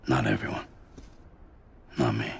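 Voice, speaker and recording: An actor playing a gruff, weary, withdrawn loner in a cinematic video game, terse and downbeat. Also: male voice, very deep voice, steady tone, a little slow, full character voice. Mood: bleak, withdrawn.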